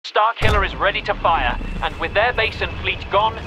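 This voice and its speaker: An actor playing a stern, zealous military commander in a video game, speaking in a formal, declamatory, menacing way. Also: male voice, high-pitched, normal speed, noisy background, natural voice. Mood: fervent, commanding. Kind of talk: dramatic video game briefing. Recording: loud music behind.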